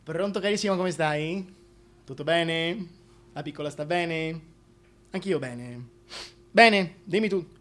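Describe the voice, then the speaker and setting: A two-faced, foul-mouthed schemer on the phone acted by a man in a comic radio play. The voice is rather high, with a volatile manner that veers between coarse and oily. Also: takes it slowly, pauses often, fairly bright tone. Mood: unctuous, agitated. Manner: volatile, switching between cursing and oily politeness.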